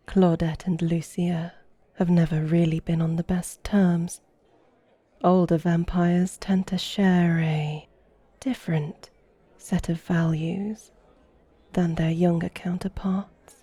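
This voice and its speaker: A woman playing a courtly, flirtatious vampire in an ASMR roleplay, speaking softly with a teasing, formal, elegant manner. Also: on the low side, steady tone, takes it slowly, no room sound, heavily acted. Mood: gracious, confiding. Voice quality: soft, breathy. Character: flirtatious, courtly female vampire guiding a guest.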